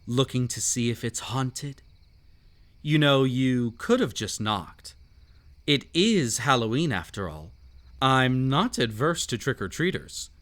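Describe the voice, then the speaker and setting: A man acts out a mysterious, sinister gentleman of a haunted estate in an audio roleplay. He speaks slowly and theatrically, teasing his listener with whimsical menace. His pitch swoops playfully through drawn-out phrases. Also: sing-song. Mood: playful, amused. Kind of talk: scripted roleplay audio drama.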